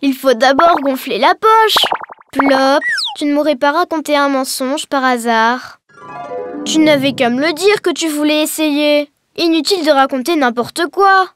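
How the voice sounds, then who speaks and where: High-pitched, cheerful cartoon girl. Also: measured pace. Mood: mildly scolding. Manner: explanatory, encouraging. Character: cheerful young Breton girl teaching her alien friend. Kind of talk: scripted educational cartoon dialogue. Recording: music behind the voice.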